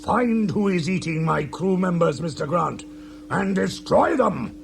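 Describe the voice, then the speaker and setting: A heavily creaking, imperious male starship captain in a radio play. He draws out his orders with pompous menace and wallows in self-pity. There is audible noise on the recording. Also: high-pitched, animated, measured pace, full character voice. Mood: self-pitying, commanding. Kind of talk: 1980s radio science-fiction acting. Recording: noisy 1980s radio drama recording.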